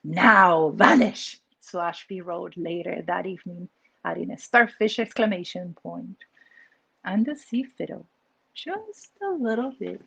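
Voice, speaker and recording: Slow, expressive Peruvian woman reading aloud. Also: on the low side, creaky, husky, dry recording, poor audio. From Peru. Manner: expressive storytelling with asides. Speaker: children's book author. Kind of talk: reading her picture book aloud.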